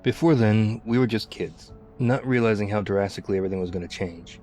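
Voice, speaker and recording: Man playing a low-voiced, weary apocalypse survivor. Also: steady tone, fairly quick, dark tone, soft background music, close to an everyday voice. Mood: weary, reflective. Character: weary, loyal, tough zombie apocalypse survivor. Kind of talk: intimate scripted ASMR roleplay.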